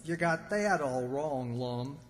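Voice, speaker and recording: A man's voice: medium pitch, animated. A man playing a dim, gullible, good-natured country bumpkin in old-time radio comedy style. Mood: folksy. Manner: folksy, slow-witted, earnest. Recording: reverberant hall stage recording.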